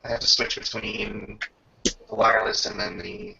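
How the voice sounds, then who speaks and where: Gravelly, bright-voiced man running a game. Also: fairly low pitch, big pitch swings, slight background noise. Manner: halting, pausing often mid-sentence. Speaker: game master. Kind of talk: improvised narration of a tabletop game. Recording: dull lo-fi home microphone.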